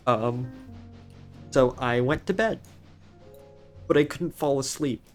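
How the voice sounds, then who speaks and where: A man's voice: mid-range voice, slow pace, faint music behind. A young man in college, a streamer telling a rambling story with restarts in casual chat while gaming. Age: young adult.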